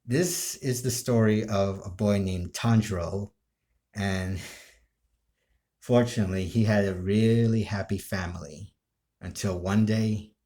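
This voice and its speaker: A man telling a story casually, in halting, broken phrases with frequent restarts. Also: sits low, dark tone. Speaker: amateur video creator telling his role-play ideas.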